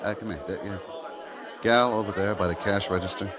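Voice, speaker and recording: A man's voice: lowish voice, steady tone, fairly quick, crowd noise, natural voice. An actor playing a restless young American store clerk, telling his story in colloquial 1950s radio drama style. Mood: wary, intrigued. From eastern United States, New York. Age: young adult. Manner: colloquial, slangy, confiding. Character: restless young male liquor clerk, smitten, streetwise narrator. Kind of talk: 1950s radio drama acting, first-person narration. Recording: muffled 1950s radio recording.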